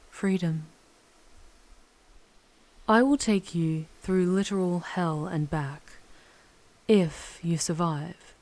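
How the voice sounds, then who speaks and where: A woman voicing a seductive, proud, ancient female demon in an ASMR audio roleplay, speaking formally and deliberately with a teasing, commanding charm. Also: lowish voice, a little mellow. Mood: bargaining, solemn.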